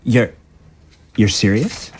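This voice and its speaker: Man playing a smug, animated congressman. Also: on the low side, takes it slowly, slightly rough, exaggerated voice. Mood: eager, disbelieving. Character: Steve McPherson, smug, ambitious Republican congressman, man. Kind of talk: audio drama acting.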